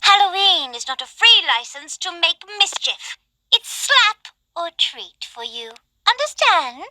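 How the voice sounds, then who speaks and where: A woman's voice: extremely high pitch, big pitch swings, a little slow, sharp tone, no echo, exaggerated voice, rough recording. A woman voicing a perky, bossy young fantasy game heroine with a bubbly manner. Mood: scolding. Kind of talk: video game character voice acting.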